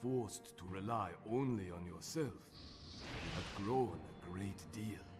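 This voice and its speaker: A man playing a wise, patient old rat master in a video game, speaking formally and with measured care. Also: low-pitched, over music. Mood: reflective. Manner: formal, measured, gently admonishing. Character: wise, patient elderly male rat sensei. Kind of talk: video game cutscene voice acting.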